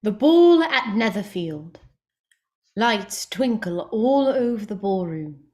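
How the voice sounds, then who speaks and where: A woman's voice: medium pitch, big pitch swings, slow pace, warm tone. A woman reading scripted stage directions as the narrator of a drama.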